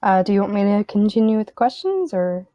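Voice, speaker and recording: A woman's voice: mid-range voice, sing-song, fairly quick, mellow, no echo, lo-fi sound. A woman on community staff, reading out and answering questions casually, joking between answers. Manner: casual, joking, with light laughs.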